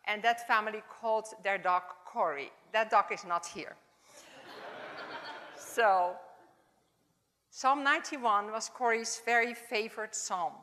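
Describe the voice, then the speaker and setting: A woman in her seventies with a Dutch accent, giving an informal, halting chapel talk in English as a second language. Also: mid-range voice. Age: 70s. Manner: warm, halting, non-native phrasing. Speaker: chapel speaker. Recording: long hall reverb.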